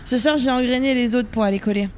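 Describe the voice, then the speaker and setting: Woman as cheeky, high-voiced young activist. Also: quick pace, slight background noise, close to an everyday voice. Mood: casual. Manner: casual, slangy, teasing. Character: cheeky, laid-back young woman activist, teasing sidekick. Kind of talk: French audio fiction acting.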